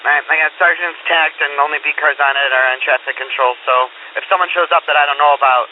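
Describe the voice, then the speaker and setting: A male police dispatcher comes through a tinny, narrow radio channel with faint hiss. His voice sits a little high, and he relays codes and details in a clipped, procedural manner. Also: fairly even intonation, quick pace. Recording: hissy phone-like police scanner radio, narrow band.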